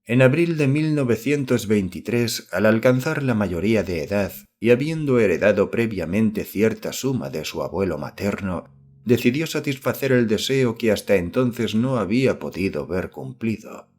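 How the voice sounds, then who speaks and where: Guy with a lowish voice reading a horror audiobook, measured formal storytelling. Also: lively intonation, a little mellow. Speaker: audiobook narrator. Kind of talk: scripted literary narration of a horror novel.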